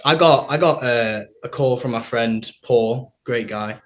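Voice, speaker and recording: A man's voice: medium pitch, a little slow, slight background noise. A young man with a northern English accent, chatting and joking as a musician in a casual group interview. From St Helens, north-west England. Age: young adult. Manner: chatty, jokey. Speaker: band drummer being interviewed.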